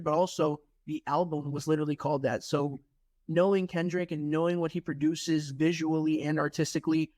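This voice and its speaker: A young man speaking his mind at length, reflective and sure of his views. His voice sits a little high for a man. The recording is dry and close. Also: dry recording. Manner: opinionated, reflective, speaks in long stretches. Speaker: rapper guest. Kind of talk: casual chat. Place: studio room.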